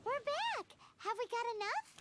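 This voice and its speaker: A woman playing a bright, curious, bookish young adventurer in a video game, with an animated, explanatory way of talking. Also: very high-pitched, moderate pace, exaggerated voice. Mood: cheerful.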